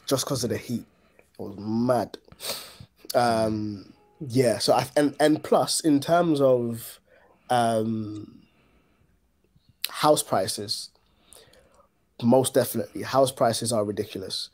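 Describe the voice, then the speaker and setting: A man with a London accent and a mellow, rounded tone. His voice sits at an average male pitch. He talks in a colloquial, slangy way, like a co-host in a relaxed podcast chat. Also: takes it slowly, long pauses. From Ghana and London. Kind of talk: casual chat.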